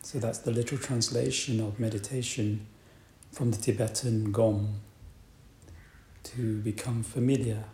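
Slow, mellow-voiced man guiding meditation. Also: on the low side. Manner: calm, deliberate, with long pauses. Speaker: meditation teacher. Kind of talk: guided meditation. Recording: roomy echo, faint background noise.

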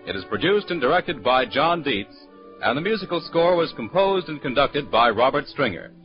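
A man's voice: mid-range voice, very animated, normal speed. A man announcing scripted credits as a network announcer in a formal 1940s radio style. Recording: muffled 1940s radio broadcast, faint music.

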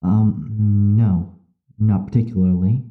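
An actor playing an uneasy young male psychiatric patient in an audio drama, halting and unsure as he talks. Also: on the low side, fairly even intonation, no echo, narrow, tinny audio. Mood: hesitant, puzzled. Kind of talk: audio drama acting, confiding.

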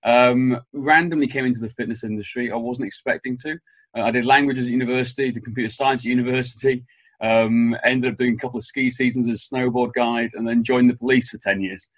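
A man's voice: mid-range voice, fairly even intonation, fast talker, no room sound. A man talking as a podcast guest in a chatty, rambling way, often restarting his sentences, from a guest room in a farmhouse. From Wales. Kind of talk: casual conversational interview.

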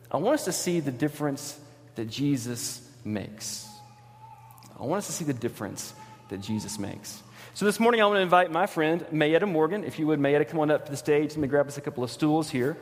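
Man hosting church in a reverberant hall. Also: fairly high pitch. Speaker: church host inviting a guest on stage. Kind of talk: live church talk and interview to a congregation. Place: church stage in a large room. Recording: echoey hall with long reverb.

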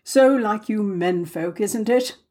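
A woman reader puts on a middle-aged English hostess from a storybook. She is brisk and cheerful, bustling along with sociable chatter. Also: mid-range voice, mellow. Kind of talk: storybook character voice in audiobook reading.

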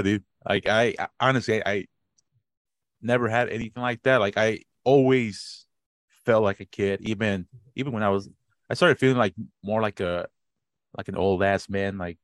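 A man's voice: lowish voice, no echo. A man in his late twenties co-hosting a podcast, chatting casually, rambling and swearing freely with frequent laughs. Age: 20s. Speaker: podcast co-host. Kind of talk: casual chat.

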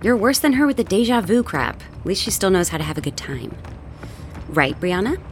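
An actress plays a dismissive, sarcastic young woman in an audio drama. Her medium-pitched voice swings expressively. Faint ambient noise sits behind it. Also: sing-song, fairly quick, natural voice. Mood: sarcastic, irritated. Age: young adult. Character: defiant, sarcastic young party-loving woman.

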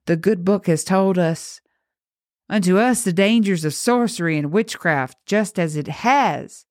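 A woman's voice: lowish voice, measured pace, a little mellow, no echo, close to an everyday voice. A devout father and priest acted out by a woman preaches with solemn restraint in a storybook tragedy. The sorrow is held back, and the sound is dry and close. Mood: restrained sorrow. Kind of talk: dramatic storytelling.

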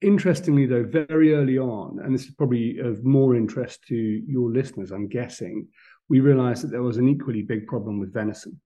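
Middle-aged guy chatting on a podcast, warm mellow tone, lots of ums. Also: medium pitch, a little fast, lo-fi sound. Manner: chatty, storytelling, many ums and restarts. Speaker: podcast guest, food business founder. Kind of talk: casual interview chat.

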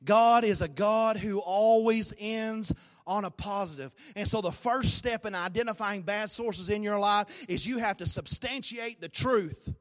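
A man preaching a sermon with a hoarse, raspy voice, swinging between low talk and shouted, sung-out peaks. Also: unhurried. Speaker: pastor. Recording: long hall reverb.

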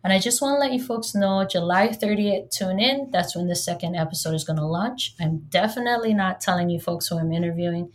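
A woman's voice: on the low side, a little fast, few pauses. A woman from Hawaii whose first language is Hawaiian, in her thirties, hosting a solo podcast in a chatty, joking way and talking straight to her listeners. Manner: chatty and humorous, addresses listeners directly. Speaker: podcast host.

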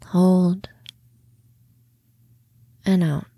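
A woman leads a guided meditation at a very slow pace, leaving long pauses between phrases. Her voice is mellow and slightly low, and her phrase endings soften into breath. The sound is dry and close. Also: steady tone, dry recording. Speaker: podcast host and meditation guide.

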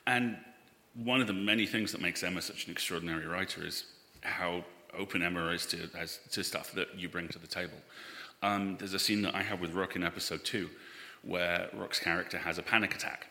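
Deep, very bright, forceful man. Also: steady tone, fairly quick. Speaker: actor on a panel. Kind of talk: casual live Q&A. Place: live festival hall. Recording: echoey hall, live recording.

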